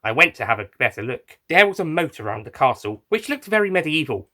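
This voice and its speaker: Bright-voiced man narrating a story. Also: on the high side, very animated, a little fast. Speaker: narrator and writer of an audio story. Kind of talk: first-person scripted narration.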